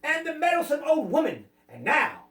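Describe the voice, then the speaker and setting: A storyteller acts out a gluttonous, menacing female cat from a children's tale. She is boastful and indignant, pitched high with big swoops, in an echoing room. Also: wildly swooping pitch, fairly bright tone, heavily acted. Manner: drawn-out indignant echoing questions, then rapid boastful listing. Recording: roomy echo, distant microphone.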